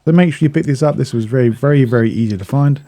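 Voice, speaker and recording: Mellow-voiced man guiding a game walkthrough. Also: medium pitch, fast talker. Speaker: video game guide narrator. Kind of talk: walkthrough commentary, casual and instructional.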